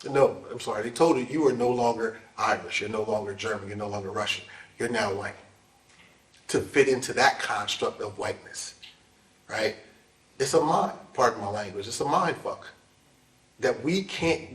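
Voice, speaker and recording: A man's voice: medium pitch, a bit bright. A man in an informal panel talk, emphatic and plain-spoken, with preacherly asides. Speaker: dance scholar and panel speaker. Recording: echoey hall, distant mic.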